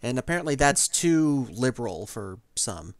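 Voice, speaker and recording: A frank, outspoken speaker chatting casually on a stream, breaking into loud, high laughter. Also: very animated, normal speed, dry recording. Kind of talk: casual chat.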